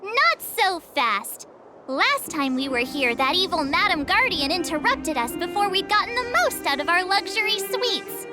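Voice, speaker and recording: A woman's voice: very high-pitched, sing-song, normal speed, over loud music. A woman voicing a bubbly, pushy teenage adventurer girl in a video game, chirpy and coaxing. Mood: coaxing, insistent.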